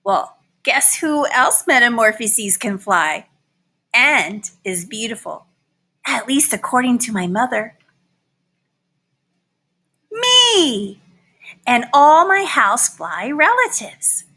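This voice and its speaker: A boastful little housefly from a children's storybook, acted out by a woman. The voice is high and bright, with big theatrical swings. It takes its time, proud of itself and scornful of butterflies. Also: very animated, slow, heavily acted. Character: boastful, butterfly-envying male housefly, a proud old father. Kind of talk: animated children's picture book read-aloud.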